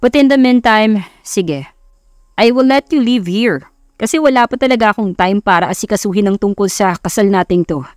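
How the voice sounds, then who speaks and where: A woman's voice: medium pitch, moderate pace, no room sound, studio quality, lightly acted. A Filipino woman voicing a cocky, sarcastic young billionaire playboy who taunts, in an audiobook romance. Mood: firm. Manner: taunting, code-switching into English.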